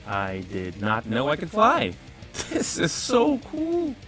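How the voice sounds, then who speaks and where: A man's voice: a little high, wildly swooping pitch, slow pace, over music, exaggerated voice. A man acting an anxious, earnest teenage hero in a superhero audio drama. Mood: amazed. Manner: nervous self-coaxing, earnest.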